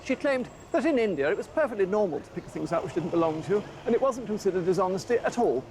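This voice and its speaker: A man's voice: high-pitched, lively intonation, quick pace, a little mellow, a little hiss or hum. A man telling stories and putting questions as a documentary presenter. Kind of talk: storytelling and interviewing on location.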